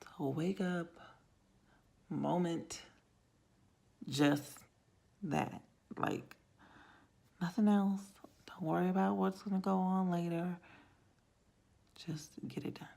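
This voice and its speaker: A woman vlogging in a casual ramble to camera, with a raspy, hoarse voice and frequent drops into whispers. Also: on the low side, steady tone, takes it slowly, pauses often, mellow. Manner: rambling, self-talk with many trailing whispers. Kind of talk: casual vlog talk to camera.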